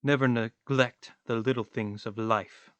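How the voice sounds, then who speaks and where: A man acts out a brooding, philosophical male tramp in a radio play. He speaks slowly at a medium pitch, wordy and pompously theatrical. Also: fairly even intonation, a bit bright, dry recording, muffled recording, lightly acted. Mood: pensive.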